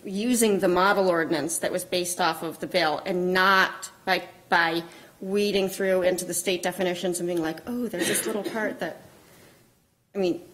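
A woman's voice: on the low side, fairly even intonation, moderate pace, fairly bright tone, low-quality recording. A woman speaking as a board member at a public meeting, pressing her point insistently and pushing back, picked up by a room camera across the meeting room. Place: town meeting room, indoors.

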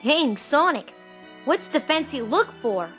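A woman's voice: high voice, big pitch swings, unhurried, over loud music. A woman playing an earnest, touchy fifteen-year-old cartoon fox boy in a fan audio drama, boyish and emotional. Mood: curious, teasing.

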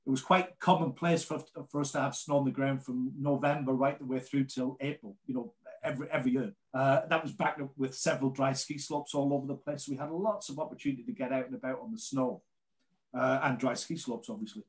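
A man's voice: medium pitch, steady tone, brisk. A man in his late forties with a Geordie accent, presenting chattily and informally as a guest on a video call. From North East England. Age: late 40s. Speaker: ski brand representative and guest presenter.

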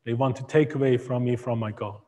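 A man in his thirties from Iran, speaking English with an Iranian accent, giving a halting personal testimony as a guest speaker in a church hall. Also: mid-range voice, fairly even intonation, dark tone. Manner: halting, with many ums and broken grammar. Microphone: far camera. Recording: echoey hall from far camera.

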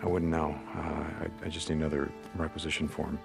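An actor playing a gruff, guarded biker turned soldier in a video game, gravelly, terse and dryly muttering. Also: male voice, a bass voice, little pitch movement, fast talker, music in the background. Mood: subdued.

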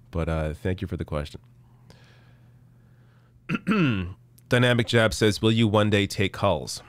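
Low-voiced man losing his voice. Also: expressive. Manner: casual, halting, many restarts. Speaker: political show host. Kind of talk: live stream chat reading. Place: small dark room, indoors. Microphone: studio mic on arm in front.

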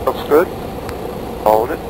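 A man's voice: mid-range voice, lively intonation, takes it slowly. A man working as a pilot, making radio calls and talking himself through the flight in short procedural phrases. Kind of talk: radio calls and self-talk while flying. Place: small aircraft cockpit in flight. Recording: loud aircraft engine noise.

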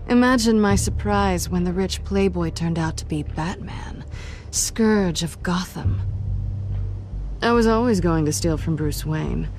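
An actress playing a sly, flirtatious cat burglar in her thirties, confident and teasing, in a game drama. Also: fairly low pitch. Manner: teasing, sultry, unhurried.

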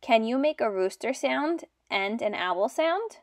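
A young woman teaching and reading aloud to small children in simple, deliberate phrases. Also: fairly high pitch, animated. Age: young adult. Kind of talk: children's read-aloud lesson.

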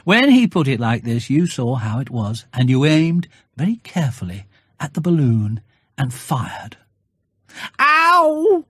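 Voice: medium pitch, big pitch swings, normal speed, darkish tone, breathy edge, dry recording, clean studio sound. A narrator reading a children's storybook in a scripted storytelling style.

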